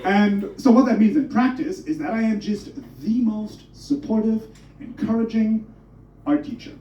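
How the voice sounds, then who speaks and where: A man's voice: high-pitched, moderate pace, reverberant, far from the mic, dull audio, background noise. A man from Germany performing a stand-up comedy set, talking with energy and swearing as he mocks himself. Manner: energetic, swearing, self-mocking. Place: comedy club stage.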